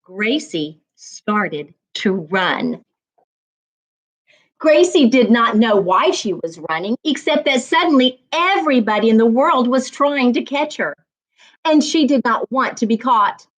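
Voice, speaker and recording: A woman reading aloud and teaching as a storytime reading coach, with deliberate, emphatic phrasing meant for young listeners. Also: fairly high pitch, expressive, poor audio. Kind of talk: expressive children's read-aloud and teaching.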